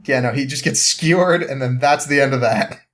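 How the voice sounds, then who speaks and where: A man with a mid-pitched voice recaps a story in a loose, conversational way. He rambles and hesitates, feeling for the next bit, and sounds amused by what he is telling. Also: a bit bright. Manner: halting, rambling, amused, often chuckling. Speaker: podcast guest.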